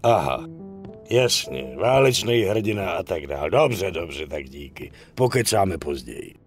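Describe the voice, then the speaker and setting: Crime game union boss, middle-aged: cynical, blunt, creaky low end, music underneath. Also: male voice, very animated. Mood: wary, businesslike. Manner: bossy, blunt, swearing, talks down to others. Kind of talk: dubbed crime game cutscene acting.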